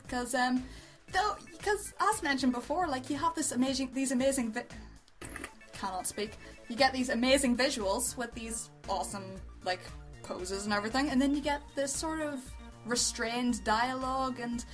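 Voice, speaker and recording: A woman's voice: high voice, normal speed, fairly bright tone, soft background music. A woman co-hosting a casual review show, talking in an informal, rambling, giggly way. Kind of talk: casual chat, reviewing amateur voice acting.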